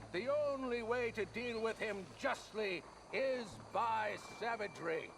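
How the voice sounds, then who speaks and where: An actor playing a stern official who pronounces death sentences in solemn, declamatory public oratory for a video game. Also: male voice, sits high, noisy background, heavily acted. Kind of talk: dramatic video game acting. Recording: music behind.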